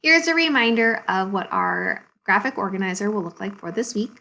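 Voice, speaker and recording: A woman teacher reading a storybook aloud to second graders in an expressive, deliberate way, with dramatic pauses. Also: mid-range voice, creaky. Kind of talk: storybook read-aloud for young children.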